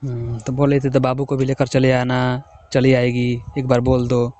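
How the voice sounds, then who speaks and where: A man's voice: medium pitch, completely flat delivery, normal speed, darkish tone, a little hiss or hum. A young man from the of India, chatting casually with his wife, teasing and coaxing. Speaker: husband working away from home. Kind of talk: casual phone chat.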